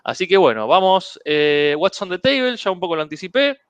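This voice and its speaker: Smooth-voiced man hosting a tech webinar. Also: high-pitched, a little fast, no room sound, highs cut off. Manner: relaxed, rambling, many fillers and restarts, mixes English tech terms. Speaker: webinar host. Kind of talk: casual technical presentation.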